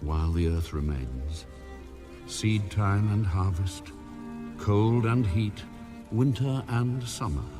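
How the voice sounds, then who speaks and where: An actor playing God as a solemn, commanding male deity in a dramatized scripture recording, speaking in measured declarations over music. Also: fairly low pitch, animated. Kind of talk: dramatized audio scripture reading. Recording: produced audio with clear music underneath.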